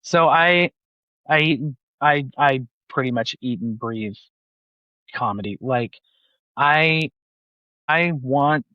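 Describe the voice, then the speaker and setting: A man in his forties from Utah talks casually as a guest, with a bright, forceful voice that rambles through plenty of "like"s. The audio is dry, with no room sound, and slightly muffled and lo-fi. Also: medium pitch, dry recording. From Utah, USA. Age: 40s. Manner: rambling, filler-heavy, many "like"s. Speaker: banker, guest. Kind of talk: casual interview chat.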